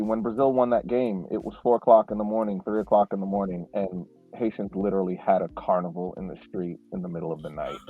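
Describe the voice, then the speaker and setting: Male podcast co-host, low-pitched, reminiscing with halting fillers; tinny phone-quality sound. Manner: reflective storyteller, frequent fillers and restarts. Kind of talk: casual podcast chat.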